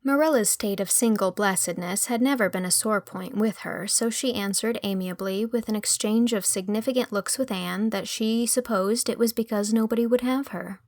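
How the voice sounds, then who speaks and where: Creaky-voiced woman narrating a story. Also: medium pitch, moderate pace, hardly any pauses, darkish tone, no echo, clean studio sound. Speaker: audiobook narrator. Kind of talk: scripted literary narration with character dialogue.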